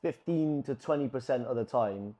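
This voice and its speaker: A man's voice: mid-range voice, moderate pace, mellow. A man with a Brazilian accent co-hosting a podcast in casual chat, rambling and often restarting his sentences. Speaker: podcast co-host.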